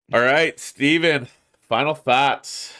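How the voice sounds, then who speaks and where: This is a congested man hosting a podcast and wrapping things up. He talks slowly and unhurriedly in a laid-back, friendly tone. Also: mid-range voice, pushed and bright. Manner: laid-back, laughs easily. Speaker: podcast host. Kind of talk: casual chat.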